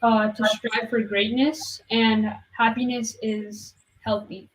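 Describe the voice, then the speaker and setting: A woman's voice: fairly high pitch, level tone, takes it slowly, low-quality recording. A young woman answering casually and a little hesitantly as a student guest on a panel podcast.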